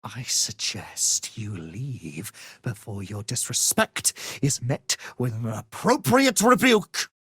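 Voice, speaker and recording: A man's voice: medium pitch, sing-song, slow pace, few pauses, bright, forceful tone, husky, no room sound, exaggerated voice. A man voicing an arrogant, power-hungry wizard in a fantasy game, with a theatrical, pompous, condescending delivery. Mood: disdainful.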